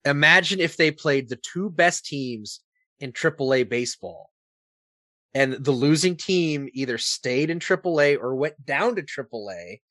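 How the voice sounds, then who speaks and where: A man's voice: a little high, a bit bright, no room sound. Opinionated American man in casual sports talk. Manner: opinionated, poses rhetorical questions. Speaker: sports podcast co-host.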